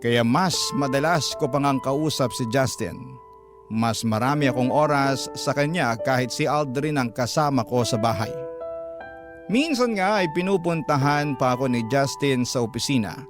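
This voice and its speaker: Casting: male reader as confiding young woman in love, Filipino accent, measured radio-drama storytelling over a loud music bed. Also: medium pitch, natural voice. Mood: confiding, wistful. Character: emotional young woman confessing her love story in a letter. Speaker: radio host reading a listener's letter.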